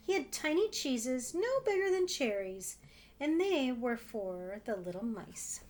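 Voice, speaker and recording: A woman reads a picture book aloud to young children. Her voice is a little high and her pace is slow, with expressive narration and gentle explanatory asides. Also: a little hiss or hum. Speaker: storybook reader for children.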